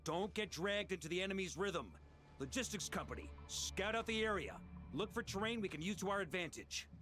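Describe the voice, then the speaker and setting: A man voicing a confident, commanding battle leader in a video game, rallying and decisive, over loud music. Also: high-pitched, expressive, a little fast.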